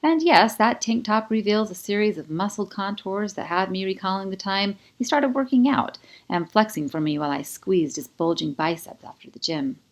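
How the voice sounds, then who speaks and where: A woman reading first-person scripted audiobook narration in an expressive, confiding manner. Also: mid-range voice, moderate pace, few pauses. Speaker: author narrating own audiobook.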